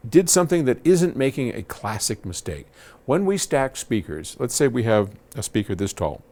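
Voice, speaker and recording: Low-voiced man, casual folksy advice host. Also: darkish tone. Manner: conversational, folksy, rambling asides. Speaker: host answering audio questions. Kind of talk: casual advice talk to camera.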